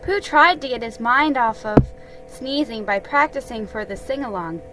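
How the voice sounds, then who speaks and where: A woman hosting a holiday read-aloud, mixing casual chat with dramatic storybook reading in a halting way that stumbles over words. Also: fairly high pitch, lively intonation, over music, low-quality recording.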